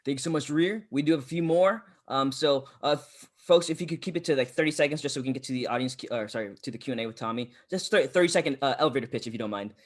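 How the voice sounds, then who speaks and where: A man's voice: medium pitch, fast talker. A man hosting and moderating a video call in casual, conversational speech. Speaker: host.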